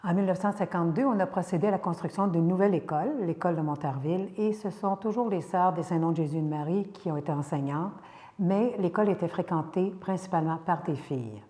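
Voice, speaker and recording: Older woman, Quebec French accent, local historian, measured narration, mellow low voice, long reverb. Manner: measured and careful, occasional hesitations.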